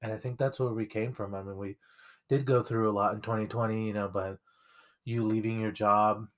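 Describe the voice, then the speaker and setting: A man's voice: fairly low pitch, steady tone, fairly quick. A young man co-hosting a casual conversation, speaking reflectively with frequent fillers. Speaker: podcast co-host.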